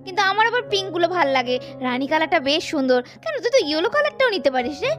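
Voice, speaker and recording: Lady doing chirpy young doll woman, super high and quick, gushy sing-song, doll story w soft music under. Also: big pitch swings. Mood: eager, chatty. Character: excited young doll women chattering while shopping for saris. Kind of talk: doll-story role play.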